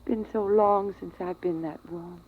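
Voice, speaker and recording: An actress playing a fragile, distraught mother with an American accent in a 1950s radio drama, halting and dreamy as she clings to memories. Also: fairly low pitch, steady tone, lightly acted. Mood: dreamy, longing. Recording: muffled, tinny vintage radio recording.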